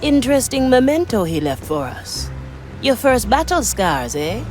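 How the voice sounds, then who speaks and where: An actress playing a bold, inquisitive young pirate navigator with a cheeky manner in a video game. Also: female voice, fairly high pitch, sing-song, measured pace, a little mellow, soft background music. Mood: cheeky, determined. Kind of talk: video game character acting.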